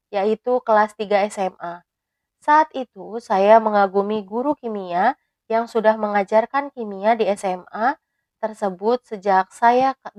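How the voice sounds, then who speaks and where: A woman in her thirties, a teacher, reading a prepared personal reflection in a measured, even way. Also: medium pitch, no echo. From Indonesia. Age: 30s.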